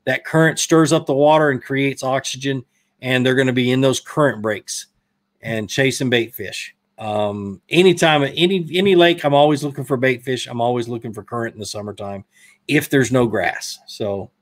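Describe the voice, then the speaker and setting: A man's voice: medium pitch, steady tone, moderate pace. A man in his thirties with a Southern US accent, hosting a fishing live stream and casually answering viewer questions in a rambling, self-correcting way. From Georgia, US. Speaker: fishing channel host. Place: indoor studio room, brick wall. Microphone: desk mic in front.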